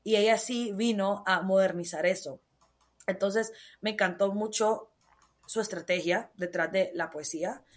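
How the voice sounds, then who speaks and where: A woman's voice: medium pitch, measured pace. Chatty young Honduran woman. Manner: animated, storytelling with many fillers. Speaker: guest author. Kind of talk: casual interview chat.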